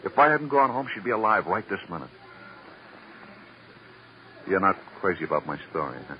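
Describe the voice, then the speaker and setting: Brief: nervy, defensive waiter, very low voice, slangy, muffled vintage radio recording. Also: male voice, very animated, moderate pace, a little hiss or hum. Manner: insistent, repeats himself, slangy. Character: defensive, jittery waiter under police questioning. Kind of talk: 1950s radio crime drama acting.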